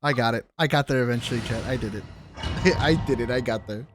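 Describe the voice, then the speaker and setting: A man's voice: mid-range voice, a little fast, background noise. A man doing casual, joking game livestream commentary, swearing now and then as he talks to his chat. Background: video game music and sounds.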